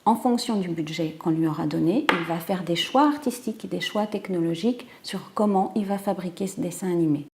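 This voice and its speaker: A woman in an interview, calmly explaining how animation production is organized. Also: lowish voice, background noise. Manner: measured, clear explaining.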